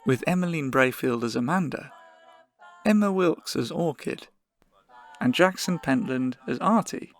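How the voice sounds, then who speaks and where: A man's voice: on the high side, animated, measured pace. A man reading scripted closing credits as an announcer. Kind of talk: scripted credits over theme music. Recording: voice over theme music.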